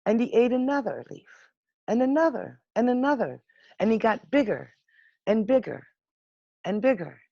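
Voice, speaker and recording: A woman reading a children's storybook aloud in an expressive, unhurried manner with dramatic pauses, over a video call. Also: fairly high pitch, vocal fry, dry recording. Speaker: storybook reader. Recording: muffled, lo-fi video call audio.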